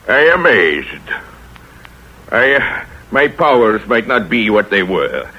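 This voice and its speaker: Pompous aging sleuth; husky low voice that swoops, dull old radio sound. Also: male voice, full character voice. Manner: pompous, measured pauses. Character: aged fussy male detective, proud and theatrical. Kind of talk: 1930s radio acting.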